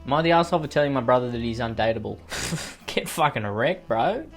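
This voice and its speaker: A man's voice: mid-range voice, lively intonation, fast talker, soft background music. A man with an Australian accent reading out online posts and riffing on them with profane, sarcastic, rambling comedic asides. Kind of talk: comedic commentary on read-out posts.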